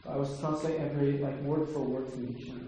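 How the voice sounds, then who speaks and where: A man from the Czech Republic, a native Czech speaker with a Czech accent, answering audience questions in a halting, casual way with many fillers and restarts. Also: medium pitch, little pitch movement, warm tone. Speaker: Bible translator answering questions. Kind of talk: casual Q&A talk. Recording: very echoey hall, faint noise.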